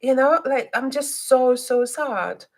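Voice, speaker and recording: A woman psychic hosting a live stream who speaks non-native English with a slight Scottish twang. She hesitates often, filling pauses with ums and restarts, and turns soft and guiding when she leads a reading. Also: high voice. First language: not English.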